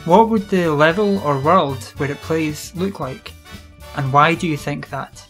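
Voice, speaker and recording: A British man hosting an educational video, reading scripted explanations in a measured, instructional way. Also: a little high, fairly bright tone. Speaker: video series host. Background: music.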